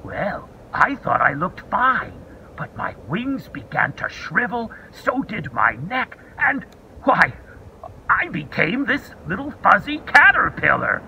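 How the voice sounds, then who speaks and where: A man's voice: sits high, slow pace, gravelly, slight background noise, pitched above the performer's own voice, heavily acted, poor audio. A man voicing a patient little caterpillar. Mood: calm. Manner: calm, patient storytelling. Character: gentle wise little fuzzy caterpillar.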